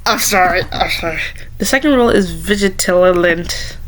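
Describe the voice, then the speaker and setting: A woman's voice: fairly low pitch, normal speed, a little hiss or hum, low-quality recording. A woman doing a casual playthrough, reading aloud and commenting with jokey, rambling asides and stumbles over words. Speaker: gamer reading a visual novel aloud.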